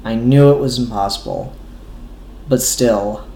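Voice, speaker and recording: A man reading the part of a wounded, stubborn grown son in a comic book, earnest and deliberate. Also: medium pitch, warm tone, a little hiss or hum, pitched below the performer's own voice, close to an everyday voice. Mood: pained, subdued. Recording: echoey room.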